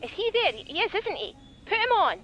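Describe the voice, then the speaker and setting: A woman's voice: very high-pitched, sing-song, normal speed, a bit bright, a little hiss or hum, full character voice, poor audio. A young woman playing an upset, demanding daughter on the phone in a radio play. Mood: insistent, bitter. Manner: demanding, pleading.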